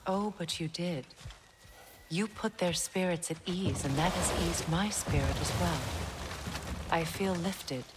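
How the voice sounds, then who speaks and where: Woman acting a low-voiced, sorrowful regal goddess. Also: very noisy, lightly acted. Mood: gentle, earnest. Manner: measured, earnest, wistful. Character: sorrowful, regal middle-aged goddess and mother. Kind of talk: cinematic video game acting.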